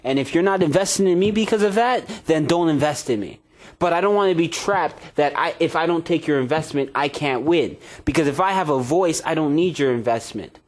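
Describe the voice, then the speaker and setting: A man speaking as a guest on a panel, impassioned, slow and emphatic as he makes his case. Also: a little high, lively intonation. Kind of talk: panel discussion.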